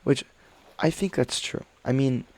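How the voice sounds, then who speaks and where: A man's voice: medium pitch, a little slow, darkish tone, slight background noise. A man reading online stories aloud and chatting about them in a rambling, hesitant way with many fillers, while a little sick. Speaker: reddit story reader. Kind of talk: casual reading with commentary.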